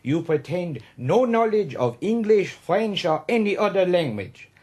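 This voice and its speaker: An actor playing a scheming, genial young Prussian captain with a German accent and inverted word order in a radio drama. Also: male voice, high voice, very animated, measured pace, darkish tone, slightly rough, heavily acted. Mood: briefing, secretive.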